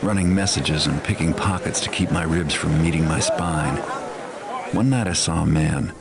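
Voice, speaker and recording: Very low-voiced, cynical thief. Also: male voice, background noise. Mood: wry, reflective. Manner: dry, understated, deliberate. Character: cynical, world-weary master thief, adult man. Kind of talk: scripted noir-style game narration. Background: loud music.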